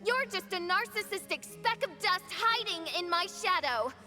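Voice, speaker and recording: A woman's voice: extremely high pitch, lively intonation, moderate pace, music in the background. A woman voicing a proud young princess in a video game, with a dramatic, declamatory and grandiose delivery. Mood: defiant, impassioned. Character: proud, theatrical young princess facing her mocking dark self. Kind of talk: theatrical video game voice acting.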